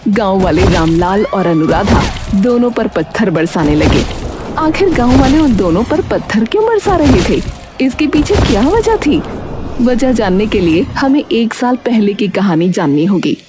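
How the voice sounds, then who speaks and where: A woman giving steady, scripted narration for a cartoon story. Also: medium pitch, normal speed. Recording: faint music behind.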